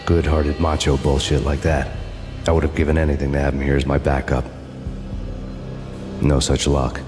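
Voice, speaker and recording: Actor as a Brooding noir cop narrator, very deep, flat, bitter, score under him. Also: male voice. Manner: hard-boiled noir narration, dry and bitter. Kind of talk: scripted noir voice-over. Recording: with loud game music.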